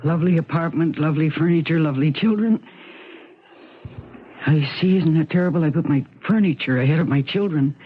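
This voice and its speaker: Despairing american wife in old radio play, low voice, wry rambling, self mocking, thin tinny sound. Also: female voice, husky. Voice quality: low-pitched voice. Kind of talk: 1970s radio drama monologue. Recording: tinny, band-limited 1970s radio recording.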